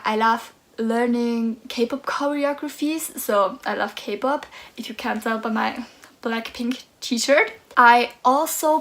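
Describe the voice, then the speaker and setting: Slow, soft-spoken young Austrian woman. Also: on the high side. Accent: Austrian German. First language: German. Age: 20s. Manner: nervous, hesitant, soft-spoken. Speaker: book vlogger. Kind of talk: casual vlog chat.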